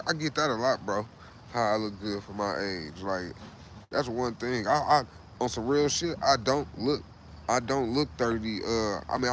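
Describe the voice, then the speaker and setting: A man's voice: lowish voice, a bit bright, a little hiss or hum. A man in his late thirties hosting a casual livestream, talking loosely and profanely, repeating himself and saying "bro" a lot. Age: 30s. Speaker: livestream host.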